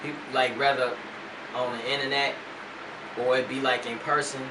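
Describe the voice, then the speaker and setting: Laid-back young rapper, roomy distant mic. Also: male voice, medium pitch, level tone, moderate pace, noisy background. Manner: laid-back, loose slang with "man" fillers. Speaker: rapper guest. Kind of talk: casual interview chat. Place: radio studio.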